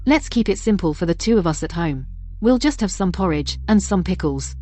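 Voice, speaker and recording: A woman's voice: on the low side, animated, brisk, mellow. An actress playing a scheming, self-pitying older mother-in-law in a family melodrama, wheedling like a martyr. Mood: persuasive, frugal. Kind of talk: dubbed family melodrama acting.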